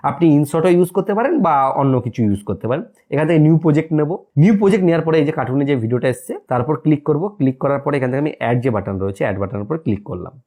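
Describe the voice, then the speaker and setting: Very fast-talking male tutorial presenter. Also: fairly high pitch, dark tone. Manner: instructional, often asks and answers own questions. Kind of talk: step-by-step screen tutorial narration.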